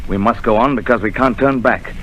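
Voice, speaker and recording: An actor playing a blunt, curt ship's captain in a 1930s radio drama, heard on an old broadcast recording with music. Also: male voice, mid-range voice, fairly quick, fairly bright tone, muffled recording, slight background noise, close to an everyday voice. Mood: resolute.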